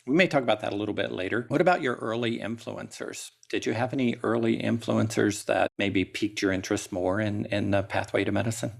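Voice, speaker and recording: Low gravelly man hosting a podcast. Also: a little fast, hardly any pauses. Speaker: podcast host. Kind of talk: scripted podcast introduction and interview.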